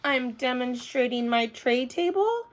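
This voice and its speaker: Storybook role: excitable, mischievous little girl, played by a woman, high and swooping, exuberant and emphatic. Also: a little slow. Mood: eager. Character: excitable, chatty, mischievous little girl narrating her plane trip. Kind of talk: animated children's storybook reading.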